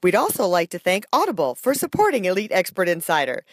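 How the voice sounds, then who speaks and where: A woman's voice: fairly low pitch, huge pitch swings, fast, no echo, clean studio sound. A woman reading a scripted promotional announcement as a podcast announcer. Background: music.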